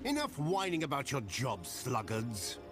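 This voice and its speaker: A man's voice: medium pitch, huge pitch swings, measured pace. A man playing a haughty, pompous magistrate's bully in a video game, indignant and threatening. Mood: scornful. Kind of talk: video game dialogue acting. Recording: loud game music behind.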